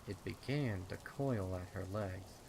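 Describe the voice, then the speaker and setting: A man's voice: low-pitched, a little slow, vocal fry, darkish tone, a little hiss or hum. A man narrating a scripted horror story in a dramatic reading style. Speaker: fan fiction narrator. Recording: rain and storm sounds behind. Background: rain sound effects.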